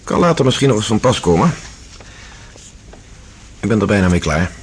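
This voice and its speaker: An actor playing an earnest, methodical scientist-explorer in a Dutch science fiction radio drama, speaking in a measured, matter-of-fact way. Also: male voice, fairly low pitch, sing-song, darkish tone, slight background noise.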